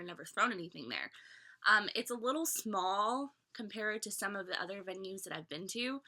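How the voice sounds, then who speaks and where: A young woman in her twenties talks into her webcam from a small bedroom. Her voice is very bright and sharp, though a little stuffy from a cold. She rambles in a chatty way, full of fillers and restarts. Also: mid-range voice. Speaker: vlogger reviewing a fan convention. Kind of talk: casual talk to camera.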